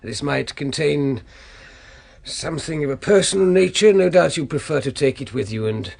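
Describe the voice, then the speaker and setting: An actor with a British accent playing a formal, officious, slightly hesitant family solicitor in a 1970s radio drama. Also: male voice, on the high side, expressive, moderate pace, vocal fry, darkish tone. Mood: formal, solemn.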